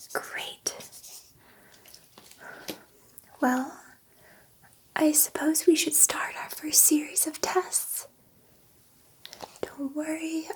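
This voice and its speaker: A gentle alien scientist voiced by a woman whispers to her human subject in an ASMR roleplay. Her voice is soft, breathy and high, with very unhurried pacing. She is soothing yet excitable, repeating reassurances.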